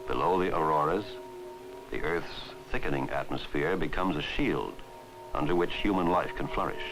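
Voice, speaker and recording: A man reading scripted narration for a 1960s educational film in a measured, formal way. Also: a bass voice, low-quality recording. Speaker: documentary narrator. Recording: 1960s film soundtrack, loud music. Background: loud background music.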